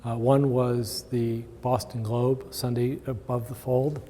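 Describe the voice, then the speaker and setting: A man gives an informal conference presentation in a relaxed, mellow voice. He speaks at a middling pitch, and the hall adds a long reverberant tail to his words. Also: slow pace, slight background noise. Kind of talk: informal conference talk with audience questions. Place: large indoor conference room with long reverb.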